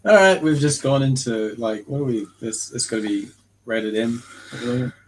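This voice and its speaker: Australian man, co-host, playful rambling banter, husky voice. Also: mid-range voice, normal speed, poor audio. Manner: joking, chatty, rambles into asides. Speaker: co-host of a craft livestream. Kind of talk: casual chat.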